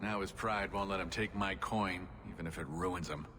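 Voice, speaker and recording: A man's voice: low-pitched, moderate pace, close to an everyday voice. A man voicing a wry, persuasive dwarf rogue deal-maker in a video game. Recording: reverberant hall, noticeable ambient noise.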